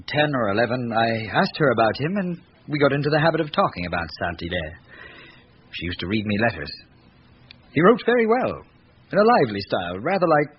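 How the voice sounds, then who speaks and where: An eager young aristocrat played by a male actor talks courteously and earnestly, his pitch swinging freely. It is a radio drama on a dull old recording with faint noise. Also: lightly acted. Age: young adult.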